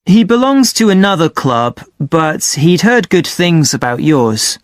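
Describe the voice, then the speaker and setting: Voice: medium pitch, sing-song, unhurried, no echo, studio quality. An actor playing a polite young amateur photographer, explaining things a little hesitantly in a scripted listening-test dialogue. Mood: chatty, keen.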